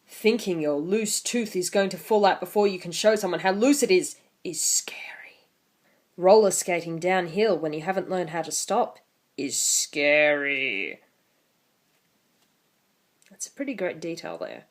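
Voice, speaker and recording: A woman's voice: lowish voice, lively intonation, a little slow. A woman in her twenties hosting an online video, talking casually and reading a picture book aloud with theatrical emphasis. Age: 20s.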